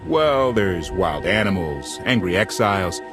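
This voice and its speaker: A man playing a brash, excitable pilot in a video game, with a blunt, wry, grumbling way of talking. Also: low-pitched, measured pace, over music. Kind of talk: scripted video game character acting.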